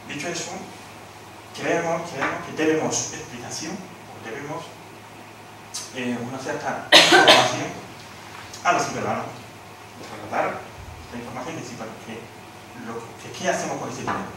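A man's voice: mid-range voice, moderate pace, long pauses, background noise. A man from Senegal giving a talk on a panel for an aid organization. He hesitates, repeats words and lists his points one by one. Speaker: NGO speaker at a panel. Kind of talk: conference talk. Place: large hall with audience. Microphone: table mic at a panel, recorded from far off. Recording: echoey hall, far from the camera mic.

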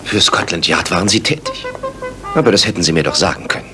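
Ein Sprecher, der in einem Hörspiel einen treuen, leicht reizbaren Arzt spielt, ernst und empört. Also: male voice, sits low, very animated, quick pace, music in the background. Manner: indignant and earnest. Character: loyal, easily ruffled male doctor companion. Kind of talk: German radio drama.

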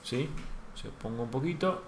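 A man teaches sculpting live from his home studio through a headset. He talks quietly to himself as he works, at a medium pitch, with a faint hiss behind him. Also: expressive, moderate pace, creaky, echoey room. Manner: thinks aloud while working, soft and trailing. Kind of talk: live-stream sculpting lesson, casual.

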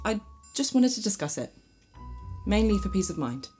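A woman's voice: mid-range voice, very animated, moderate pace, darkish tone, close to an everyday voice. An actress playing a curious, headstrong, earnest young woman with a British accent in an audio drama. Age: young adult. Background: music.

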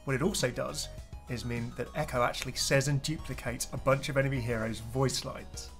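A man's voice: medium pitch, fairly even intonation, brisk, fairly bright tone. A man narrating a gaming preview video as its host, in an upbeat scripted style. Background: background music.

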